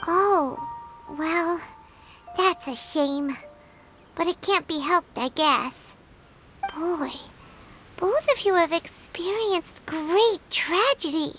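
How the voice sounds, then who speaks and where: A woman's voice: very high-pitched, slow pace, long pauses, fairly bright tone, soft background music, heavily acted. A woman voicing a tiny, chirpy and curious fairy companion who talks about herself in the third person, in a video game. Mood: sympathetic.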